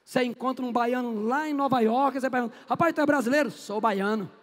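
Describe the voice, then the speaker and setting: A man's voice: extremely high pitch, quick pace. A middle-aged man from Northeast Brazil, giving a chatty, joking history lecture and answering his own questions. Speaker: history teacher. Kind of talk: lively classroom lecture. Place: classroom. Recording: echoey classroom with long reverb.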